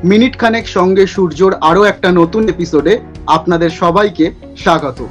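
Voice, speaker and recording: A man hosting a playful quick-fire question segment in a chatty interview style. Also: high voice, muffled recording, soft background music.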